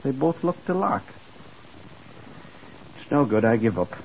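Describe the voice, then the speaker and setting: A bitter young murderer in old radio drama, sneery and defiant, muffled noisy sound. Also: male voice, medium pitch, big pitch swings, unhurried, creaky, a little mellow. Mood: bitter, confessing. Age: 20s. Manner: mocking, defiant, breaking into chuckles. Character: sneering young male murderer, bitter and resentful, dismissed as dim. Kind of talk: 1950s radio crime drama acting.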